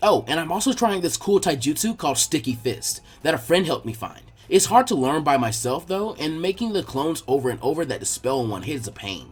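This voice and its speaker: A man's voice: on the high side, very animated, a little fast, few pauses, breathy edge, soft background music. A man voicing an earnest, eager young boy ninja trainee with a candid, informal way of talking in a story reading. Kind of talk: fan fiction character dialogue.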